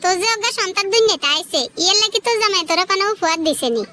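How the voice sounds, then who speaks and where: Comedy cartoon busybody: Noakhali Bangladeshi accent, boastful and goading, extremely high, rapid delivery, noisy track. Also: female voice. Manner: gossipy, goading. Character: meddling, boastful cartoon sister-in-law, sly and stirring.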